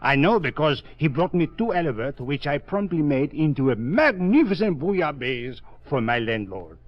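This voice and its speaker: High-pitched, theatrical French painter. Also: male voice, big pitch swings, moderate pace. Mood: chatty, disgusted. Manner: hesitant, exclamatory, peppered with French phrases. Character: broke, theatrical French abstract painter, wily and fussy. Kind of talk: old-time radio drama acting. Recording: dull vintage radio recording.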